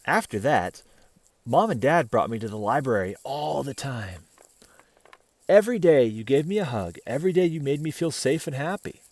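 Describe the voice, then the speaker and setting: A man reading a children's picture book aloud as an expressive storyteller, pausing for casual asides and questions to the listener. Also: mid-range voice, no room sound.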